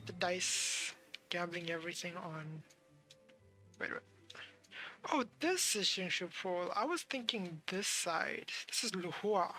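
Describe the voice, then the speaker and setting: A game streamer giving casual live commentary, thinking aloud in halting fragments over game music. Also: mid-range voice, animated, bright, forceful tone.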